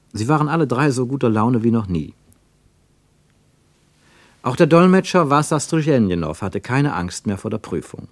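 A man's voice: lowish voice, darkish tone, no room sound. A man narrating a literary radio drama from a script.

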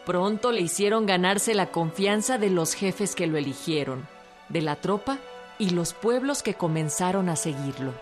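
A woman's voice: lowish voice, animated, moderate pace. A woman with a Mexican accent delivering scripted documentary narration as a narrator. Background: music.

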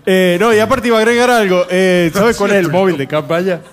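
An Argentine man with a high-pitched voice co-hosts a casual comic radio chat. He is mocking and playful in the way he tells things. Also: a little fast. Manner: joking, mocking. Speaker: radio show host. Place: bar, live radio broadcast.

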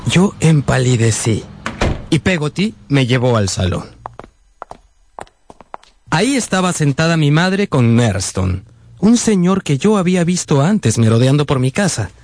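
A man's voice: fairly high pitch, big pitch swings, unhurried, pauses often, a little mellow, a little hiss or hum. An actor playing a sensitive young man narrating his unhappy boyhood in a radio drama. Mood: wistful. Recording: music bed behind the voice.

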